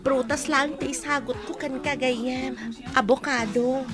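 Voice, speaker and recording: A good-natured young village student in a radio comedy drama, acted by a woman. She is mild and earnest, speaking high with an Ilocano accent. Soft music sits behind the voice. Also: fairly even intonation, measured pace. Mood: modest, puzzled.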